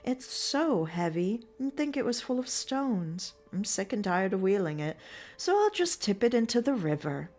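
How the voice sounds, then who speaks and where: A woman's voice: medium pitch, sing-song, moderate pace, a little mellow. A woman voicing a sly, cheerful peasant man who grumbles for show while he bargains. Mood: mock-grumbling. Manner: scheming, mock-complaining.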